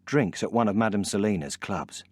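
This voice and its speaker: A man's voice: on the low side, normal speed, no echo, close to an everyday voice. A man acting as a terse scene-setting narrator for a crime video game, reading short scripted captions.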